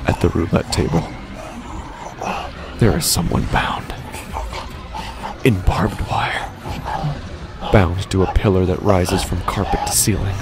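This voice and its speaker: Haunted gambler for horror podcast drama, male actor: low-pitched, very slow, breathy, hesitant, uneasy, confessional first-person narration. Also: fairly even intonation, heavily acted. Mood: horrified awe. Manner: halting, hesitant, self-repeating, slow and deliberate. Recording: continuous music bed under the voice. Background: loud music.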